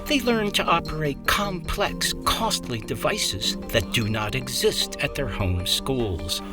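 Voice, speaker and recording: A narrator reading a scripted documentary in a measured, expressive delivery. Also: mid-range voice. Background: music bed.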